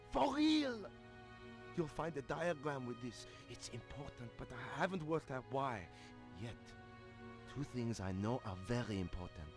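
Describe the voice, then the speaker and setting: An actor playing an anxious, excitable male code-breaker with a halting, dramatic delivery, leaving a recorded message in a video game. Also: medium pitch, natural voice. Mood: fearful. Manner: halting, stammering, dramatic swings.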